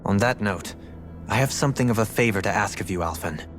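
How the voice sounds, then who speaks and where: A male actor plays a courteous young nobleman from a game drama. His voice sits low, and he speaks in a formal, measured, solemn way. Music plays clearly behind him. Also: a bit bright, lightly acted. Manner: formal, measured, tense when asking favors. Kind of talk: dramatic video game cutscene acting.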